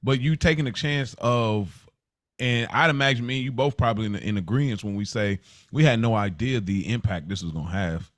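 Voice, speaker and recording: A man's voice: medium pitch, fairly quick, no room sound. A man co-hosting and producing a podcast, chatting informally in slang and thinking aloud as he goes. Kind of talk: casual conversational podcast talk.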